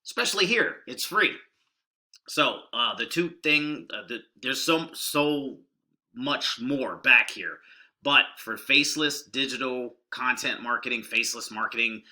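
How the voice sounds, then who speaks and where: A man's voice: medium pitch, pushed and bright. A middle-aged man casually walking through online marketing trainings, keeping his voice down and rambling in a folksy way. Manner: rambling, folksy and deliberately subdued. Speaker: online marketing video host. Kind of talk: casual screen-share walkthrough.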